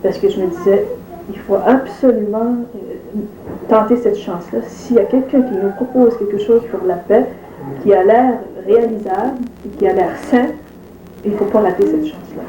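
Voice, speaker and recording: A woman speaking earnestly in an interview, pausing with many fillers. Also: medium pitch, a crowd in the background. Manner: hesitant, full of fillers. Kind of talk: earnest testimony. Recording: roomy room echo.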